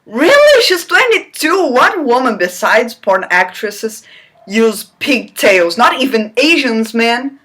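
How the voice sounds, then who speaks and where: A woman's voice: a little high, very animated, slow pace, a bit bright, husky. A woman giving a theatrical, mocking dramatic reading of a novel, with incredulous asides. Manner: theatrical, incredulous, breaks off into laughing commentary. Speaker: reader.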